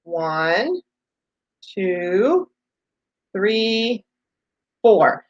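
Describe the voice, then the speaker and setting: A woman's voice: a little high, muffled recording, a little hiss or hum. A woman teaching young children, explaining each step simply and patiently with plenty of repetition. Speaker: preschool teacher. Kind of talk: children's read-aloud lesson, talking to young children.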